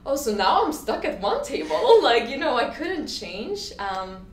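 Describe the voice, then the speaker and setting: A woman's voice: sits high, sing-song, a little slow. A woman in her twenties from Sweden, a guest telling her story in a casual interview, chatty and full of "like" and restarts, often acting out what people said. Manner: chatty, lots of "like" and restarts, acts out quotes. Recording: echoey room, faint ambient noise.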